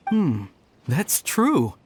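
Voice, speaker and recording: A woman's voice: low-pitched, huge pitch swings, drawn-out words, a little mellow, a little hiss or hum, exaggerated voice. A woman voicing a timid, earnest young retainer in a video game, anxious and deferential.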